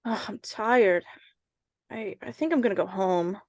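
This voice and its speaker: A woman playing an eager, skeptical little schoolboy with slangy, excitable talk in an old-time radio suspense drama. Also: mid-range voice, measured pace, no room sound, lightly acted. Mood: tired, doubtful.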